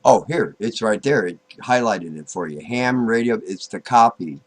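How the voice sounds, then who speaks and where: A man giving an informal, unscripted software tutorial, thinking aloud, halting and repeating himself as he goes. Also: on the low side, animated. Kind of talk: informal screen-recorded tutorial, unscripted walk-through.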